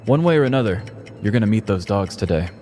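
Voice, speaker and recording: A weary male police sergeant played by a male narrator speaks low with gruff authority. He is the serious cop of a comic podcast story, with music clearly underneath. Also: lively intonation, quick pace, dark tone, natural voice. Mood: resigned. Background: background music.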